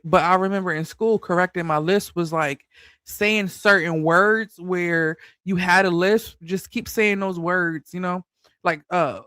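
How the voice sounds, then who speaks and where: A man's voice: high voice, pushed and bright, clear, resonant tone, no room sound, clean studio sound. A man hosting a casual commentary channel, talking loosely with a slight lisp and a bit of a stutter. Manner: stutters a little, loose and chatty.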